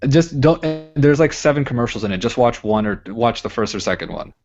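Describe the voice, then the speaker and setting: A man's voice: mid-range voice, expressive, a little fast, highs cut off, music in the background. A young man co-hosting a casual podcast, rambling, laughing and swearing as he talks. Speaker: podcast co-host.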